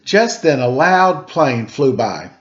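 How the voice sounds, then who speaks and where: A man narrating a children's story as a storyteller, with dramatic, deliberate pauses. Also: mid-range voice, sing-song, lo-fi sound.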